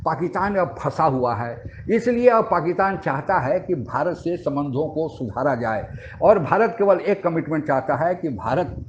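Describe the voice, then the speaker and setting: A man's voice: a little high, lively intonation, moderate pace. A man giving explanatory political commentary as a voice-over narrator. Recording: dull lo-fi sound, audible background noise.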